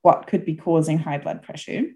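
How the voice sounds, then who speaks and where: A woman's voice: low-pitched, fairly even intonation, moderate pace, warm tone. A woman hosting an interview in a casual, chatty style. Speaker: show host, interviewer.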